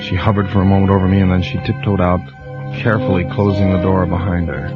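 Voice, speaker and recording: An actor playing a suspicious husband who also narrates the tale in a measured, brooding voice, in a 1940s radio drama. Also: male voice, low-pitched, flat delivery, lightly acted. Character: suspicious husband, grim first-person narrator. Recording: lo-fi old radio broadcast with music.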